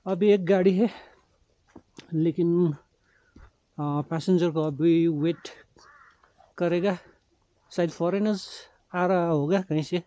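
A man's voice: fairly high pitch, dark tone, no echo. A man in his forties giving casual trek-vlog narration outdoors into a handheld camera, mixing in English words. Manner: mixes English words and phrases into speech. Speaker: travel vlogger. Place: outdoors on mountain roads. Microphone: camera held at arm's length. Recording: slightly dull, muffled camera audio.

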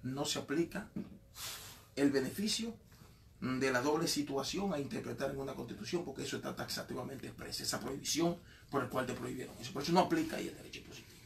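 A man's voice: mid-range voice, fast, gravelly, roomy sound, recorded from a distance, a little hiss or hum. A man hosting a radio talk show, teasing his guest and then lecturing in long questions. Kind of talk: radio studio interview. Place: radio studio.

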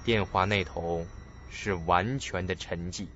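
A man's voice: low voice, fairly even intonation, slow, background noise. A man narrating a scripted suspense drama as a dramatic storyteller. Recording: dull lo-fi audio with music.

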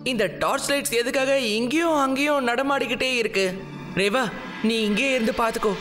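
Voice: sits high, brisk, fairly bright tone. A performer voicing a brave, clever young boy hero in a cartoon dub, earnest and take-charge. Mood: suspicious. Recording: prominent music behind the dialogue.

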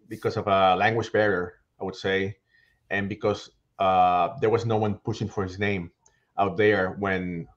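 Man on a headset call. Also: on the low side. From Puerto Rico. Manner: animated, frequent fillers and restarts. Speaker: guest author and baseball writer. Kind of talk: casual video call interview. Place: home room. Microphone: headset microphone on video call. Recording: slightly dull, lo-fi call audio.

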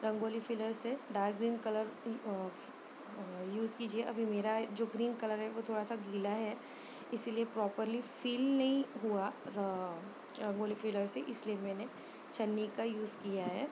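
A woman giving an informal step-by-step craft tutorial voice-over, hesitant and often pausing mid-sentence. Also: mid-range voice, steady tone, noisy background. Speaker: rangoli art tutorial presenter.

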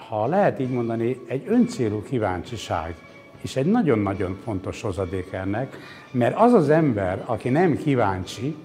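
A man's voice: mid-range voice, darkish tone. Reflective older man over background music. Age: 60s. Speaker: exhibition curator interviewed. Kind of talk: reflective interview explanation. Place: indoor exhibition gallery. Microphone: lapel mic.

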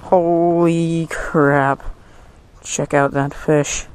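An excited young man commentates his fishing trip from a small boat on a lake, exclaiming over his catch. His voice sits slightly high yet stays flat and even in melody. A head-mounted camera picks him up outdoors with a faint background hiss. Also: extremely slow. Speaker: fishing vlogger. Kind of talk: casual commentary while fishing. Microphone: head-mounted action camera.

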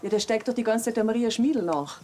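A woman's voice: a little high, fast talker, dark tone, a little hiss or hum, lightly acted. A woman playing a gossipy snack-stand wife with a Bavarian accent in a TV crime comedy.

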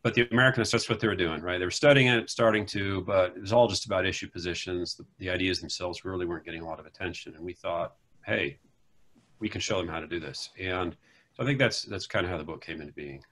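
Deep-voiced American academic explaining. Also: male voice, fast talker, a bit bright. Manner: thoughtful, explanatory, with "you know" asides. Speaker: political scientist, co-author. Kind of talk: casual academic conversation. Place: home room.

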